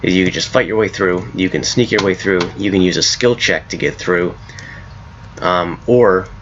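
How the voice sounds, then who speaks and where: A hoarse man casually talking through his own game mod as he plays, rambling and correcting himself as he goes. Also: low-pitched, normal speed, fairly bright tone, muffled recording, slight background noise. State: hoarse from a cold. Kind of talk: casual gameplay commentary.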